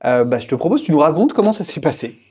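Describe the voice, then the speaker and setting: A man's voice: on the high side, very animated, very fast, mellow, slightly rough. A young man hosting a podcast, interviewing his guest in a casual, chatty way. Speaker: podcast host.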